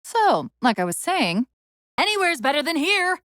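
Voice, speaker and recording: An actress playing a female military AI companion in a video game, urgent and witty but fraying under strain, with crisp tactical delivery and dry sarcasm. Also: high-pitched, sing-song, moderate pace, fairly bright tone, no echo. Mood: wry, sardonic. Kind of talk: dramatic game voice acting.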